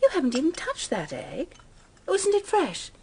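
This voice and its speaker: A woman's voice: extremely high pitch, wildly swooping pitch, normal speed, darkish tone, slight background noise, heavily acted. An actress playing a middle-aged stepmother in a British radio drama, emotionally fragile yet brave, with a British accent. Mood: brisk, fussing.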